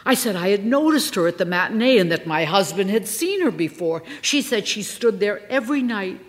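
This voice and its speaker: A woman's voice: mid-range voice, sing-song, fairly quick, voice pushed up, close to an everyday voice. A woman voicing an imperious, dramatic famous stage actress who talks in a sulky, indignant way. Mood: excited. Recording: echoey reverberant room.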